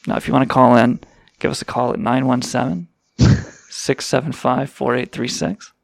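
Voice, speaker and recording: A man's voice: medium pitch, fairly even intonation, moderate pace, creaky, no echo. A man hosting a crude comedy radio show, sarcastic and rambling, swearing freely. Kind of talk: irreverent comedic banter.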